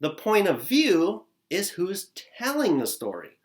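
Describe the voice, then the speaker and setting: Upbeat teacher man, lively pitch. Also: a little slow. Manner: upbeat, encouraging, speaking straight to children. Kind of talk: recorded lesson for young children. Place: living room at home, indoors. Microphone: camera at desk distance, seated facing it.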